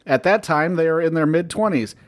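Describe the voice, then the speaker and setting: A man with a slightly high-set voice reads a review aloud on a casual podcast. He keeps a sardonic, deadpan tone, swears freely, and cuts in with his own asides. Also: fairly quick. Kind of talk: casual banter, reading aloud.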